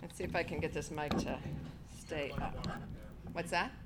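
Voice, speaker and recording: Middle-aged woman with a big, low voice. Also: steady tone, moderate pace, very noisy. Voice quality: big, strong voice. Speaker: county official giving a keynote. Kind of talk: luncheon speech. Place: hotel banquet room.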